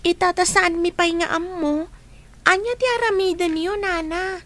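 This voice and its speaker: Radio drama: an actress playing a pleading orphan girl, very high and bright. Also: fairly even intonation, normal speed.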